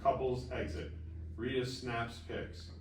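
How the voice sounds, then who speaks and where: A man's voice: mid-range voice, level tone, slow. A man reading stage directions as scripted narration at a screenplay table read. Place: festival table read in a room. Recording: echoey room, distant mic, faint noise.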